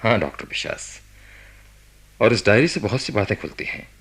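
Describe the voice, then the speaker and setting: A senior hospital doctor in a radio play. His voice is very low and gravelly, with a husky grain. He talks deliberately and with authority, pausing to think as he goes. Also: sing-song, full character voice. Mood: grave, authoritative. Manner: deliberate, authoritative, with thoughtful hesitations. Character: male senior hospital doctor, methodical, commanding, preoccupied. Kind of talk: radio drama acting.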